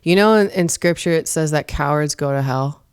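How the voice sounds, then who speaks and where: Low, very clear-voiced woman, earnest. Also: normal speed, darkish tone. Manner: earnest, emphatic, preachy about faith. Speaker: podcast guest, comedian and performer. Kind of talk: casual interview talk. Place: studio.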